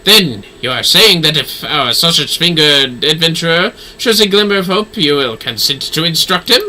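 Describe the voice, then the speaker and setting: A man putting on a flamboyant, high-pitched theatrical gentleman. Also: lively intonation, slight background noise, rough recording. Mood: gushing, persuasive. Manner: florid, exclaiming, drawn-out delivery. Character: flamboyant, theatrical aristocratic man, gushing and grandiose.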